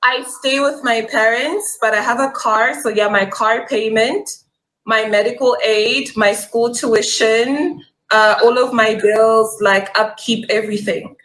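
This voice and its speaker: A woman's voice: fairly high pitch, fairly even intonation, a little slow, fairly bright tone, rough recording. A young woman from Congo calling in to a live dating show, talking earnestly and listing her situation. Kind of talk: casual call-in chat.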